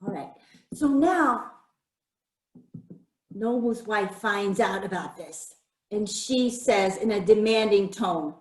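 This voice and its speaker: A woman's voice: mid-range voice, slow pace, long pauses. A woman storyteller performing a folktale read-aloud for children, addressing listeners directly with questions and prompts to join in. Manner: interactive, asking listeners questions and inviting repetition. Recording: roomy, echoing sound.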